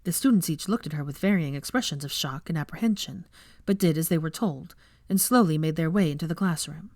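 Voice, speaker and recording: A woman's voice: low-pitched, fairly quick, a little mellow, no echo, clean studio sound. A woman reading fan fiction aloud as a storyteller, in scripted narration. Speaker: audiobook narrator.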